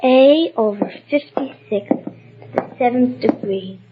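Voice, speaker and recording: A woman's voice: a little high, measured pace, loud music behind the voice, natural voice. A woman playing an earnest, secretive young girl in an old radio drama, eager and offhand with childish slang. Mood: absorbed, offhand. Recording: dull lo-fi old radio recording.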